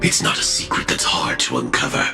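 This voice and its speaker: A man playing a cold, menacing armored hunter in a video game, terse, halting and enigmatic. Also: echoey room, natural voice. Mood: terse, grave. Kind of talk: English video game voice acting. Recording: background music under dialogue.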